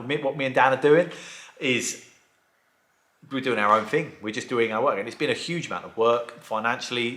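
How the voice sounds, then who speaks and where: A man who restores classic cars, chatting casually in a vlog, with a croaky voice from a sore throat. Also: medium pitch, a bit bright, reverberant. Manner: chatty, rambling, enthusiastic. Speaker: vlogger and car restorer.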